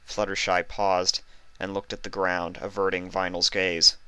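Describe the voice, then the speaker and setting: A man with a low voice narrates a story from a script. His tone is bright and forceful, and the sound is close and dry. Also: level tone, a little slow, clear, resonant tone, no echo. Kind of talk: scripted fan fiction narration.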